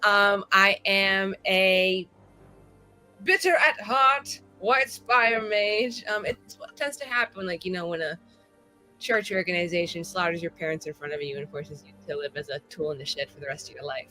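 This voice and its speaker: A woman's voice: mid-range voice, normal speed, sharp tone, soft background music. A woman chatting casually as a player on a roleplaying show, dramatic, wry and rambling. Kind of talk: casual chat.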